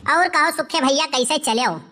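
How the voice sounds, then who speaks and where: A woman's voice: high-pitched, moderate pace, bright, forceful tone. A woman with an Awadhi accent voicing a village wife in a comic cartoon, speaking rural dialect. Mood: placating. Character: village wife and sister-in-law in a comedy cartoon.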